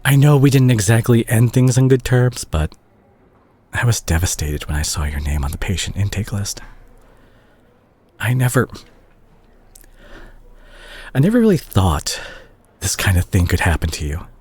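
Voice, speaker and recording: A man playing a remorseful, tender doctor ex-boyfriend in an intimate audio roleplay, speaking softly and hesitantly to the listener. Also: fairly low pitch, very animated, moderate pace, pauses often, breathy edge. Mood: shaken, regretful. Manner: hesitant, gentle, self-conscious, sighing. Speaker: audio drama voice actor.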